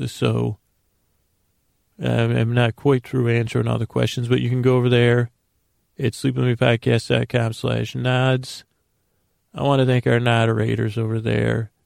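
A man hosting a bedtime podcast in a soothing, meandering monologue, halting often and repeating words as he interrupts himself. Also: medium pitch, creaky, a little mellow, no echo, clean studio sound. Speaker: host of a bedtime-story podcast.

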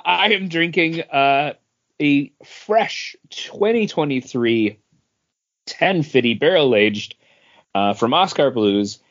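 A man chatting casually as a podcast co-host. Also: on the high side. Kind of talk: casual chat. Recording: dull, lo-fi podcast audio.

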